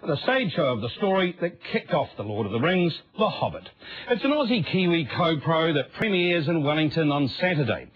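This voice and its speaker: A man's voice: a little high, big pitch swings, brisk, breathy edge. Guy reading news intros, voice swoops about, kinda dull lo-fi audio. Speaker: news presenter. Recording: dull lo-fi broadcast copy.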